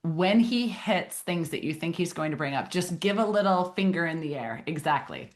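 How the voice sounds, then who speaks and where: Female host, slightly low voice, teasing and inquisitive. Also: animated, moderate pace. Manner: teasing, questioning. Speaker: podcast host. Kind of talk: podcast chat.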